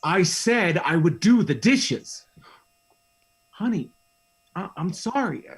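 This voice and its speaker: A man playing a weary, defensive husband who feels wrongly blamed in a radio drama, often halting. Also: high-pitched, sing-song, husky, exaggerated voice. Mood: puzzled, defensive.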